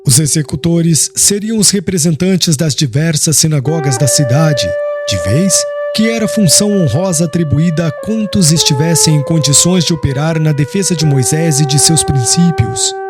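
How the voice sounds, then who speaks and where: A man with a Brazilian accent reading a scripted audiobook narration in a solemn, measured, dramatic manner. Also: fairly high pitch, very animated, music in the background.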